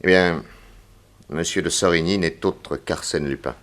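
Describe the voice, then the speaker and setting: Very deep-voiced, grave detective. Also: male voice, a bit bright. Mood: gravely persuasive. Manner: formal, deliberate, insistent. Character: grave, persuasive male detective, solemnly warning a young woman. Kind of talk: 1960s French radio detective serial acting.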